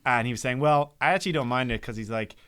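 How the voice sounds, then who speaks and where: Thirty-year-old man, medium-pitched, chatting. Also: quick pace, a bit bright, clean tone. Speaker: podcast guest, brewer. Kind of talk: casual chat, telling anecdotes.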